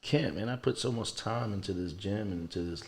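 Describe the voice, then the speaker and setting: A man with a low voice speaks as a coach in a casual interview. He is earnest and informal, often repeating a phrase to drive it home. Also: brisk, vocal fry, darkish tone. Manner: informal, earnest, emphatic repetition. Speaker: coach and gym owner, podcast guest.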